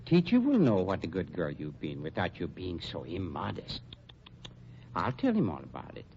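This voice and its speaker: A smooth, sinister undertaker speaks with prim, wounded courtesy and sweeping intonation. His tone is mellow and his formality menacing, on a muffled, noisy old radio drama recording. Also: low voice, wildly swooping pitch, heavily acted. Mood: smooth, condescending. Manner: over-polite, prim, mock-hurt, drawn-out formal phrasing. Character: unctuous, sinister male funeral director and underworld schemer.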